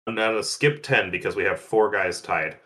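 Deep, bright-voiced podcast host. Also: male voice, moderate pace. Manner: joking, runs the show's segments. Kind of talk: casual chat.

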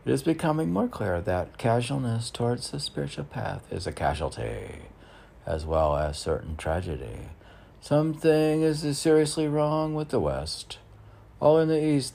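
A man's voice: on the low side, big pitch swings, moderate pace, vocal fry, a little mellow. A middle-aged man reading his own poetry, declaiming the verse and muttering off-the-cuff asides to himself. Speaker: poet. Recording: faint background noise.

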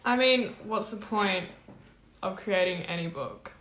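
A teenage girl from Australia, easygoing and wry, chats as a podcast co-host. Her voice sits at a middle pitch and carries the echo of a roomy space. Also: unhurried, fairly bright tone, slight background noise. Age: teens. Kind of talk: casual chat. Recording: echoey room.

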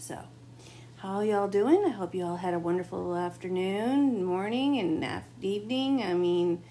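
A woman doing a late-night tarot reading, talking in a rambling, confiding way, her voice gone a bit raspy. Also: mid-range voice, a little slow, mellow, a little hiss or hum. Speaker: tarot reader. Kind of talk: late-night spiritual monologue.

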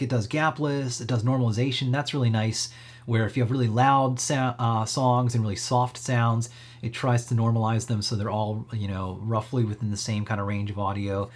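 A man giving a casual, upbeat software walkthrough as a tech stream host, talking in an easygoing, approving way. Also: fairly low pitch, slightly rough.

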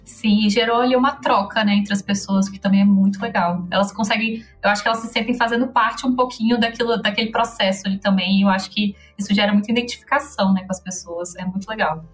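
A woman's voice: mid-range voice, steady tone, quick pace, few pauses, faint music behind. A woman with a Brazilian accent, speaking conversationally as the host of a discussion.

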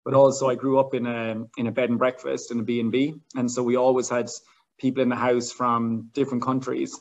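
An Irish man in his thirties chats easily about his life as a guest, often pausing on "um" and restarting his sentences. His pitch stays flat and even, and the audio is dull and lo-fi. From Ireland. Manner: chatty, many fillers and restarts. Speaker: language teacher and guest. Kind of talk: casual interview talk.

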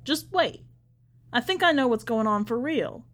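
A woman's voice: fairly high pitch, expressive, unhurried, a bit bright, pitched above the performer's own voice. Dogged male reporter, read by a woman, terse and probing, suspicious, for an audiobook story. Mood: urgent. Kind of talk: acted storybook dialogue.

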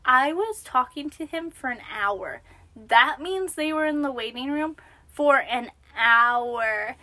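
A young woman co-hosting a podcast, chatting casually with a naturally high voice and a self-deprecating manner, sometimes dropping to a whisper. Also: bright, forceful tone. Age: young adult. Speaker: podcast co-host. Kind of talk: casual chat.

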